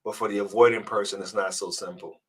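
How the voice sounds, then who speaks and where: A man with a somewhat low voice gives relationship advice in a loose, conversational live-stream manner. He sounds congested from a cold and often stumbles and repeats words as he works toward his point. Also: fairly even intonation, quick pace, slightly rough. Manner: casual, earnest, repeats words when restarting. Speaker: dating coach. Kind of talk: live-stream advice chat reading comments.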